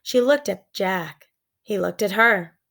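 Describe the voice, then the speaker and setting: A woman reading a children's story aloud as expressive narration, with measured, suspenseful phrasing. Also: medium pitch, vocal fry. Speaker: storybook reader.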